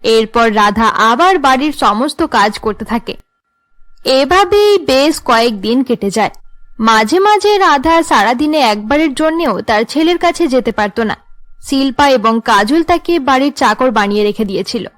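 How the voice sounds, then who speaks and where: A woman narrates a cartoon family tale. Her voice is high, and she delivers the script as a storyteller setting out each scene. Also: lively intonation, unhurried, fairly bright tone.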